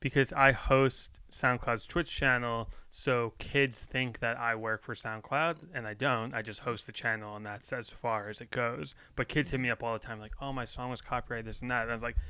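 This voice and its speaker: A male podcast host with a bright, clear, smooth voice keeps the interview moving in a chatty, upbeat way. He tosses in quick asides between his questions. The sound is close and dry. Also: medium pitch, steady tone, no echo. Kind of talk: casual interview.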